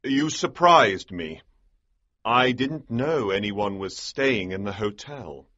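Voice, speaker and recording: A tense manservant with a bright, raspy edge and a voice that swings widely, clipped and abrupt, as a male narrator plays him. The recording is dull and lo-fi. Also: fairly low pitch, lively intonation, takes it slowly, full character voice. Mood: guarded. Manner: sharp, abrupt, then guarded. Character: tense, gruff male servant of a duke. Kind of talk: audiobook character voicing.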